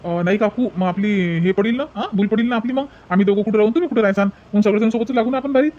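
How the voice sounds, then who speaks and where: A man's voice: high-pitched, very fast, a little mellow, close to an everyday voice. A man voicing an earnest, devout young newlywed husband in a cartoon, speaking reverently with a Vidarbha Marathi accent. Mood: concerned. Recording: dull lo-fi sound, faint noise.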